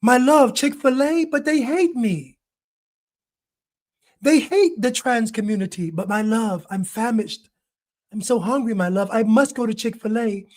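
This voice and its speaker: A man's voice: extremely high pitch, unhurried, a little mellow. A man voicing an overwrought, old-fashioned lover in a mocking Shakespearean parody. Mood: pleading. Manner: mock-Shakespearean, pleading, overwrought. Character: swooning melodramatic old-fashioned lover, adult.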